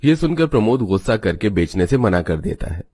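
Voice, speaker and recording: A man's voice: lowish voice, sing-song, brisk, a little mellow. A man reading a scripted family story as its narrator.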